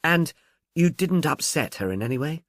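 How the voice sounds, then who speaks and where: A man's voice: fairly high pitch, expressive, moderate pace, fairly bright tone, no echo, studio quality. A man voicing a polite, inquisitive male police investigator in an audiobook.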